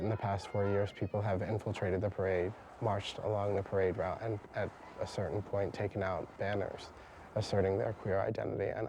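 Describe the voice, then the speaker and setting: Flat, dark-voiced man explaining calmly. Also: lowish voice, a little hiss or hum. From United States. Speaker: activist interviewee. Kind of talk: interview answers.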